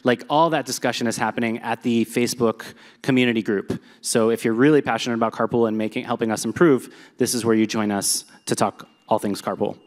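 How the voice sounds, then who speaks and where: A man's voice: mid-range voice, fairly even intonation, brisk. A man giving a live presentation to an audience, talking in an upbeat, conversational way with some fillers. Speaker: presenter at a company meetup. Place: large echoey hall with a live audience. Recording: long hall reverb.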